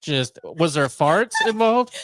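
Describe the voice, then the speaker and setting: A man's voice: a little high, sing-song, slow, fairly bright tone, no echo, studio quality. A man hosting a casual chat, rambling and joking crudely with an incredulous manner. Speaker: radio show host.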